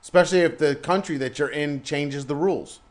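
A man's voice: fairly high pitch, fairly quick. An American man co-hosting a casual podcast, blunt and joking, leaning on a running catchphrase. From United States. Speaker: podcast co-host. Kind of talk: casual chat.

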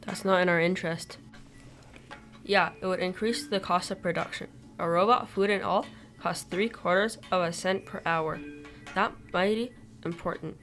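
A woman's voice: lowish voice, steady tone, moderate pace, a bit bright, faint music behind. A teenage girl playing an assertive, profit-minded male factory executive in an amateur radio play. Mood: businesslike.

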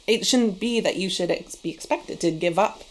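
A woman's voice: lowish voice, moderate pace. A middle-aged woman from Canada, a migration researcher talking as a guest in a thoughtful, earnest way. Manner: thoughtful, earnest, academic phrasing. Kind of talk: conversational interview.

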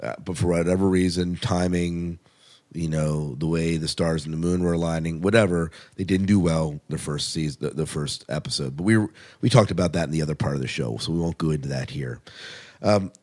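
A man with a low-pitched voice hosts a podcast in a casual, conversational style. He rambles informally as he reviews shows and freely shares his opinions. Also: fairly quick, a little mellow. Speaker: podcast host.

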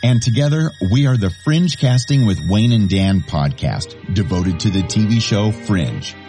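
A man reading a scripted podcast promo in a lively, confiding pitch. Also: unhurried. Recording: promo with music bed behind. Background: music.

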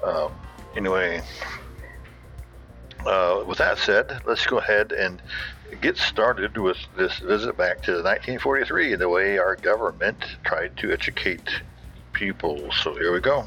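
A man's voice: sits low, unhurried, bright, forceful tone, soft background music, rough recording. A man hosting a podcast solo, talking casually in a hesitant, rambling way. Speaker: podcast host.

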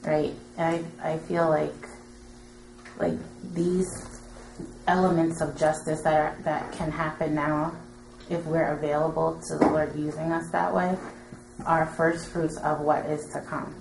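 A woman's voice: low-pitched, level tone, a little slow. A woman in an audience making a casual, earnest comment to a teacher, informally and loosely phrased. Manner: informal, filler-heavy with frequent "like". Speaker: audience member. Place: indoor room with an audience. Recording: roomy echo with clear background noise.

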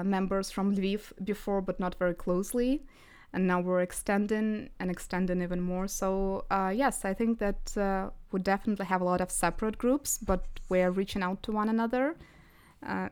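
Lady on a podcast, russian speaker, smooth clear voice, mid pitch, chatty with lots of uh's. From Crimea, Ukraine. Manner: animated storytelling, frequent hesitations. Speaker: podcast guest. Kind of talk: casual interview chat.

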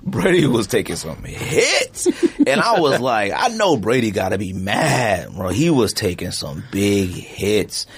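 A man with a low voice that swings widely in pitch, talking sports in slangy, joking podcast banter. He often trails off mid-thought and picks it up again. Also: big pitch swings, a little slow, hardly any pauses, husky. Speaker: podcast co-host. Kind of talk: casual sports chat.

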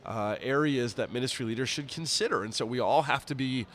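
A man with a raspy, nasally congested voice, chatting casually as a podcast host. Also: medium pitch, a little fast, fairly bright tone. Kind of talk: casual interview chat. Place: conference center during a busy event. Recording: live at a busy event venue.

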